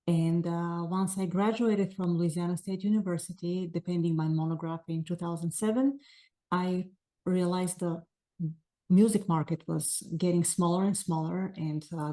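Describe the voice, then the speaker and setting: Bulgarian-accented woman with a mellow, low voice. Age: 40s. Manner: earnest, long flowing answers with frequent "uh". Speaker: author guest. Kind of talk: interview answers.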